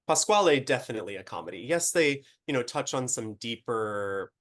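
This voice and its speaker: A man's voice: medium pitch, expressive, moderate pace. A man hosting an online opera lecture, friendly and a little hesitant, often starting with "um" and "you know". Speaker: opera company producer and interviewer.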